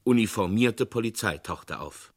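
A man giving measured, dramatic narration of a radio crime play. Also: on the low side, animated.

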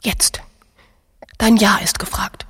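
A female actor playing an exasperated, reproachful bride in a radio play, husky and breathy, insistent and scolding. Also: takes it slowly, a bit bright, no echo, in a whisper, studio quality. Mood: reproachful hiss. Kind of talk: radio play dialogue, often hushed.

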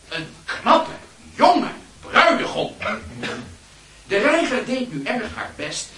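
A man's voice: sits high, bright, forceful tone, full character voice. An actor playing a theatrical schoolboy who declaims his own mocking fable in verse in a radio drama. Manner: declamatory, drawn-out verse delivery. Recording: roomy, distant from the microphone.

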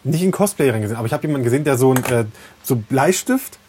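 A man's voice: mid-range voice, lively intonation, rapid-fire, a little mellow, slight background noise. A man chatting casually and informally within a group of friends. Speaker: podcast co-host.

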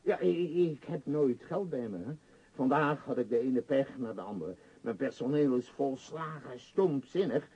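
A man's voice: medium pitch, animated, moderate pace, a little mellow, slightly rough. A man acting an imperious, absent-minded billionaire tycoon in a radio play, rambling and hesitant in a self-important way. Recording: muffled, dull radio play recording.

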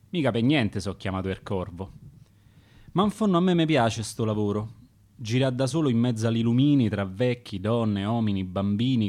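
A man with a Roman accent narrating dialect sonnets as a gravedigger for a radio play, in a measured storytelling manner. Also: medium pitch, a little mellow. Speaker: narrator and author.

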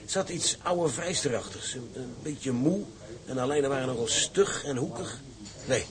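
An actor playing a plain-spoken seaman and business partner, measured and understated, in drama dialogue. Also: mid-range voice, crowd noise, close to an everyday voice. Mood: appraising. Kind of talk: Dutch audio drama dialogue.

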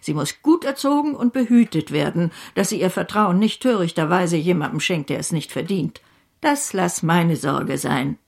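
An actress playing a domineering, eccentric great-aunt in a German radio play, speaking in a brisk, cutting manner. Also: female voice, lowish voice, animated, vocal fry, darkish tone. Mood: imperious, certain. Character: domineering, brusque eccentric great-aunt, bossy but well-meaning.

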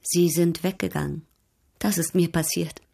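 A haughty European baroness with a fairly low voice. She speaks formally and with poise, coolly ironic and a little flirtatious, in the manner of a radio play. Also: fairly even intonation, normal speed, vocal fry, dark tone, slightly rough. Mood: coy irony. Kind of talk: German radio drama acting.

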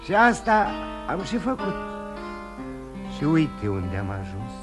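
An actor in a radio play voicing a ruined drinking man who confesses his failed life with self-loathing, halting and repeating himself. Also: on the high side, wildly swooping pitch. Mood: bitter, self-mocking. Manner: rambling confession, halting with emotional repetitions. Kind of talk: theatrical radio drama acting. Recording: radio play with guitar music behind.

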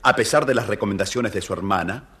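Guy narrating a kids fairy tale, low voice, expressive storyteller. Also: quick pace.

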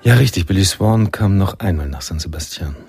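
An actor playing the reflective male narrator of a noir jazz radio play, with a deep, gravelly voice. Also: expressive, brisk, over loud music. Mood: matter-of-fact. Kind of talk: scripted radio drama narration.

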